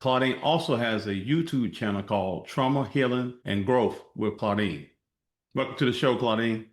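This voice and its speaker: A man's voice: mid-range voice, normal speed. A man hosting an interview show, encouraging his guest and asking open questions. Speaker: podcast host.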